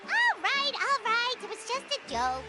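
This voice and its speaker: An excitable little fairy sidekick from an anime game. The childlike voice is piercingly high and very animated, squeaky and cheeky, over prominent music. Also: female voice, unhurried, full character voice. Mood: matter-of-fact. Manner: refers to herself in third person, bubbly.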